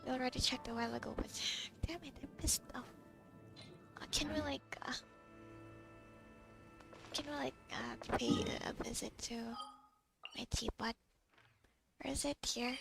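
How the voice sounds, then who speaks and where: Gamer: woman, muttering under her breath, raspy fry, high. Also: steady tone, unhurried, pauses often. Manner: muttering, half-whispered, swearing, self-annoyed. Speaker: gamer streaming a playthrough. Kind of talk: casual gaming commentary. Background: loud game music.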